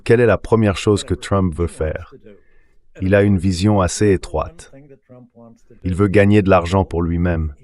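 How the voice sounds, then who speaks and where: A deep man's text-to-speech voice in the role of an interview guest. He gives political and economic commentary in an explanatory way, often asking a question and then answering it. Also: darkish tone. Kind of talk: translated interview commentary, read by AI voice.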